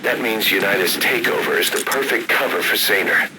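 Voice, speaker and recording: Stern male military adviser, low, measured game radio briefing under loud ambient noise. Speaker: mission radio support.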